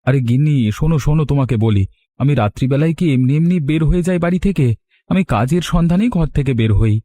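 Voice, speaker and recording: A man acts a boastful, sly village rogue in an audio story. His voice is husky and mellow, swinging widely in pitch. He cajoles with a swagger. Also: lively intonation, brisk.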